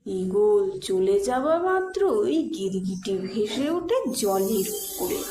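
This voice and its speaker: Female cartoon narrator, unhurried storybook reading, animated pitch, echoey with prominent music. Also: background noise. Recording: echoey voice under loud music.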